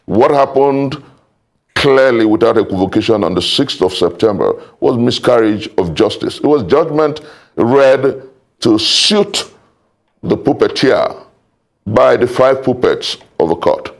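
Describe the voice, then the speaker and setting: Gravelly Nigerian man arguing politics. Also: mid-range voice, animated. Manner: emphatic, formal, deliberate repetition. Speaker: political panel guest. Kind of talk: political debate, oratorical argument.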